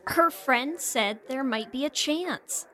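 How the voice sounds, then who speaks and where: Bright-voiced, worried best friend. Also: female voice, high-pitched, takes it slowly. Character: worried, caring, earnest woman best friend. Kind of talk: naturalistic audio drama acting.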